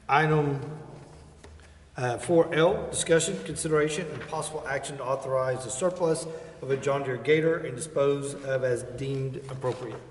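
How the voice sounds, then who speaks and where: A man chairing a city council meeting, reading agenda items in a measured, formal way. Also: medium pitch. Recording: echoey council chamber with faint noise.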